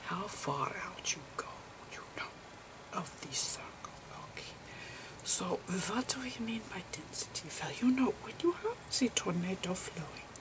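A woman's voice: mid-range voice, rough recording. Woman playing a whispering, eccentric male physicist. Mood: patient explaining. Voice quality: whispery, breathy. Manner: halting non-native grammar, gentle explaining. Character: eccentric male foreign physicist channeling Einstein, patient, whimsical. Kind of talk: ASMR roleplay lecture.

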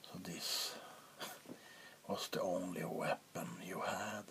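A man playing a menacing, smug vampire king in a soft-spoken ASMR roleplay, slow and taunting with mock politeness. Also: low-pitched, steady tone, slight background noise, exaggerated voice. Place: small indoor room. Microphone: close mic.

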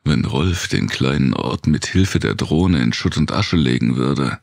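A man narrating a dark thriller audiobook in the first person, with a gravelly, husky voice and a measured, brooding delivery. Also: extremely low pitch, expressive, no echo, clean studio sound.

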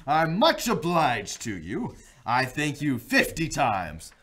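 A giddy reformed old miser. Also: male voice, on the high side, wildly swooping pitch, takes it slowly, fairly bright tone, heavily acted. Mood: jubilant. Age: older. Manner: exuberant, laughing, theatrical. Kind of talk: radio drama acting.

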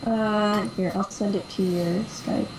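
A woman's voice: medium pitch, fairly even intonation, unhurried. A hesitant, giggly woman chatting casually as a caller, sounding a little sick. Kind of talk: casual chat. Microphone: voice call. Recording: noisy internet voice call.

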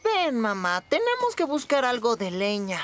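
A mischievous little cartoon boy with a Latin American Spanish accent. The voice is high and very lively, cheekily spinning tall tales over loud music. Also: normal speed. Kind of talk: animated cartoon dub.

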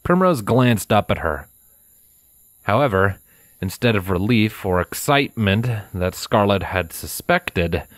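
A man's voice: deep voice, dry recording. A man narrating a scripted story in a dramatic audiobook style.

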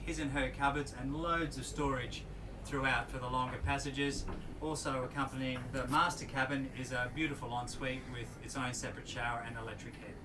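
A middle-aged man presenting a walkthrough tour aboard a moored sailing yacht as a yacht broker, in an upbeat, enthusiastic sales-pitch manner. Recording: distant mic with marina background noise.

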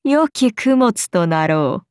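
An actress playing a haughty ancient serpent goddess in a video game, speaking in an imperious, archaic and languid way, amused and menacing. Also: female voice, medium pitch, very animated, slow, a little mellow, clear, resonant tone, no echo, studio quality. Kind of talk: video game character voice lines.

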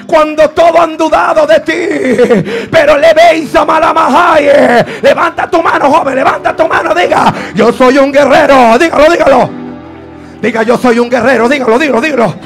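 A man delivers a fervent Pentecostal sermon in a very high, strained voice with a gravelly edge. He exhorts insistently, repeating his phrases. Faint music plays behind him. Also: moderate pace. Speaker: preacher. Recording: preaching over faint background music.

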